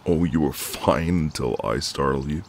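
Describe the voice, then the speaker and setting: Very deep-voiced gallant neighbour guy played by a man. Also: moderate pace, slight background noise. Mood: gently teasing, insistent. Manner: easygoing, hesitant, conversational, gently teasing. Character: friendly, gallant, teasing ex-marine male neighbour. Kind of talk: intimate ASMR roleplay, one side of a conversation.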